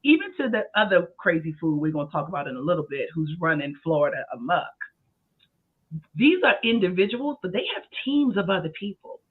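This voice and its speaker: A man's voice: sits high, slightly rough. A man in his forties, a podcast co-host, talking earnestly and thinking aloud in a casual discussion. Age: 40s. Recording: tinny phone-like sound.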